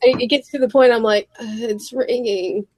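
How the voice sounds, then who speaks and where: A woman in casual conversation, sounding sick and slightly gravelly, telling stories animatedly and acting out exchanges. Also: a little high, moderate pace. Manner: animated storytelling, acts out exchanges. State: sick, voice deeper and more gravelly than usual. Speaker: podcast guest, small business owner who ships orders. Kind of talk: casual chat.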